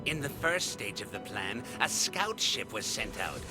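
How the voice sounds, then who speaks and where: A man playing an embittered, centuries-old space explorer turned villain in an English anime dub, speaking in a measured, formal, sardonic way. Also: mid-range voice, very animated. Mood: grave recounting. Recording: music behind the voice.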